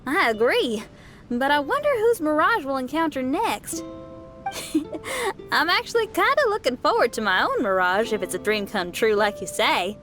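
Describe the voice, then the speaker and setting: A woman's voice: high voice, big pitch swings, moderate pace, over music. A woman voicing an easygoing, upbeat young female musician in an anime game, folksy and breezy in manner. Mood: eager.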